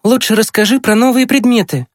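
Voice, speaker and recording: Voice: mid-range voice, a little fast, no room sound, clean studio sound, voice pushed up, lightly acted. An audiobook narrator voicing an eager, boastful boy who is finishing primary school. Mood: excited. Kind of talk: acted audiobook dialogue.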